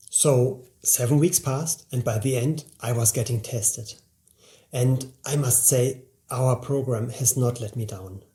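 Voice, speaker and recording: Male presenter, 40s, for a motivational promotional narration. Mellow timbre with noticeable fry, talks straight to the listener. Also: mid-range voice. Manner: motivational, direct address to the listener. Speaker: presenter promoting his language course.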